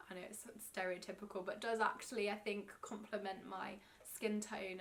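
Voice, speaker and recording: A woman's voice: medium pitch, vocal fry, fairly bright tone. A young woman with a cold, a slightly stuffy nose, chatting casually and ramblingly about knitting as a podcast host. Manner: chatty and rambling, many ums, gushing. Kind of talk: casual chat to camera.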